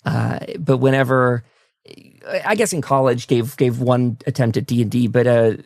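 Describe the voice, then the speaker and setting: Male talk show host, casual and effusive, wordy, creaky edge, close dry sound. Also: mid-range voice, moderate pace, darkish tone, dry recording. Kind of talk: casual enthusiastic interview chat.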